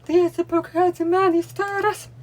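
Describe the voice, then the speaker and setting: A woman with a Russian accent, a native Russian speaker, explaining at home in a deliberate way while she signs along. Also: extremely high pitch, level tone, darkish tone. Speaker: language learning vlogger. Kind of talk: explaining while showing books. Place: home room with bookcase, indoors.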